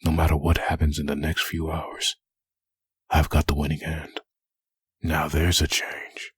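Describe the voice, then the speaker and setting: A man playing a brooding undead antihero full of hatred in a comic dub, with a gravelly rasp and a grim monologue delivery. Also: rumbling low, monotone, dry recording, clean studio sound, full character voice. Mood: vengeful. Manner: grim, slow internal monologue. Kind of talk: comic dub dramatic narration.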